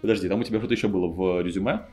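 A man's voice: low-pitched, very fast, darkish tone, faint music behind. A man whose native language is Russian, hosting a podcast in a casual, joking, informal way. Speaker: podcast host.

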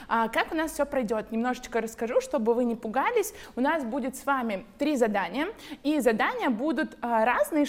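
A woman hosts a studio talk show with a slightly raised voice. She presents in an upbeat, measured way, guiding the conversation along. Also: on the high side. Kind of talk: show introduction and moderating.

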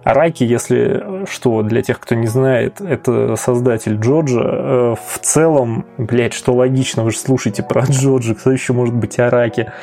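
Mellow-voiced man riffing on a podcast. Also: mid-range voice, moderate pace, creaky, hardly any pauses, soft background music. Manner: swearing, wry, full of fillers. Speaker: podcast co-host. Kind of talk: casual chat about anime.

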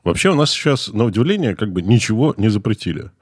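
Low-voiced, sardonic man, closely miked. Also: big pitch swings, quick pace, darkish tone, husky, no room sound. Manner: sardonic, dry interjections. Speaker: podcast co-host. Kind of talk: casual conversational podcast talk.

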